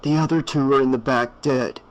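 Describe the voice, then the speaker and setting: A man's voice: medium pitch, steady tone, normal speed, lo-fi sound, natural voice. A man voicing a weary small-town sheriff who speaks firmly. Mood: stern. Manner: firm, commanding.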